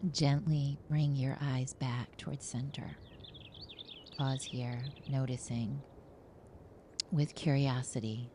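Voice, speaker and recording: A woman guiding a relaxation meditation as a teacher, with a soothing, gentle and hushed delivery. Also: very deep voice, steady tone, takes it slowly, long pauses, slight background noise.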